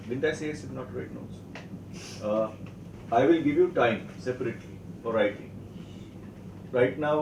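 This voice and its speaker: A man from Pune with an Indian accent, teaching a language class in a deliberate, instructive way with repeated phrases. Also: medium pitch. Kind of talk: classroom instruction with slow repetition drills. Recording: distant roomy mic, faint noise.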